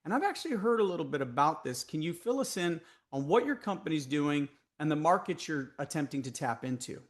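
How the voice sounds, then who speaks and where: A man's voice: a little high, lively intonation, a little fast. A man with a Texas accent hosting a podcast interview, asking long conversational questions. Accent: Texan. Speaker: podcast host.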